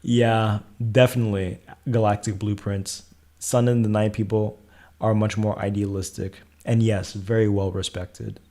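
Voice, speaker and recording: A man hosting a casual live astrology Q&A, answering viewer questions in a calm, soothing way and thinking aloud. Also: fairly low pitch, unhurried.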